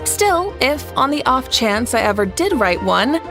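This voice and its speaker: Confident, highish-voiced young female leader. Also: very animated, unhurried, over music. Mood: amused. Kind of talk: video game voice acting.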